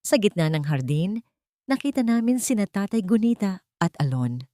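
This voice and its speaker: A woman's voice: medium pitch, big pitch swings, moderate pace, mellow, no room sound, studio quality. A woman reading a scripted dramatic story as its narrator.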